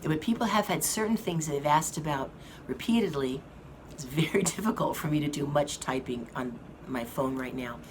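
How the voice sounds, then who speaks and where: Hoarse woman, very deep voice, slow halting talk, fan hum behind. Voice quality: slow, halting speech with many fillers. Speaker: vlogger giving a health update. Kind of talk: casual update to viewers. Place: hospital rehab room with an air conditioning fan. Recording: noisy room with fan hum. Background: air conditioning fan.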